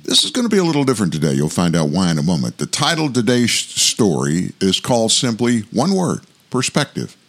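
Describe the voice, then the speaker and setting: Sixties male host, deep booming voice, reads reflective scripted commentary at a steady pace. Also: soft background music. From South Louisiana, US. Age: 60s. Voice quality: big voice. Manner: measured, reflective delivery. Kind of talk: scripted political commentary monologue.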